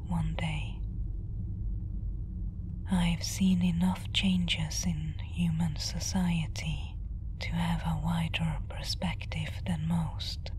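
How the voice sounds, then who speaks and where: Female, playing a weary, contemplative deep-space explorer for ASMR roleplay. Breathy whisper, extremely unhurried, over noticeable ambient noise. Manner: slow, hushed, contemplative. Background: ambient soundscape.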